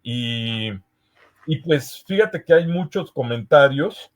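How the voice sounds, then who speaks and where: Mexican guy hosting a conference session, casual, takes his time, lots of searching for words, medium pitch. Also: lively intonation. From Veracruz, Mexico. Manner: hesitant, searching for words with frequent pauses. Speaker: host of a history conference session. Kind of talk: casual moderating, reading audience comments aloud.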